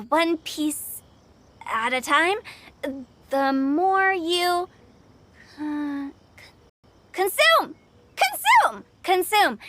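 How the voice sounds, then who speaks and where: A woman's voice: very high voice, bright, forceful tone, heavily acted. A woman playing a perky young event hostess in an anime game, with a chirpy, careful delivery. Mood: hesitant, puzzled.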